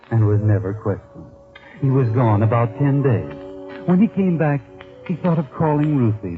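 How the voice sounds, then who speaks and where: An actor playing a knowing, taunting storyteller, narrating a 1940s radio mystery in a dramatic, sardonic manner. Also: male voice, medium pitch, natural voice. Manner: sardonic, dramatic, addressing the character directly. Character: knowing, taunting male storyteller haunting a crime tale. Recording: thin, phone-like vintage 1940s radio recording.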